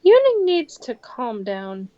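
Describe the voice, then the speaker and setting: Young female streamer, fairly high voice, wry and slangy casual gameplay chat, slightly dull lo-fi mic. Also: wildly swooping pitch, takes it slowly. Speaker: game streamer. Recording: dull, lo-fi microphone sound.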